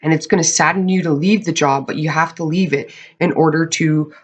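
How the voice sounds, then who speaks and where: Hoarse tarot reader, crackly voice, chatty, keeps checking in with viewers, clears throat a lot. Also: medium pitch, steady tone, brisk. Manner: chatty, often checks in with "okay?". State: hoarse, losing voice. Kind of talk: casual monthly tarot reading to camera.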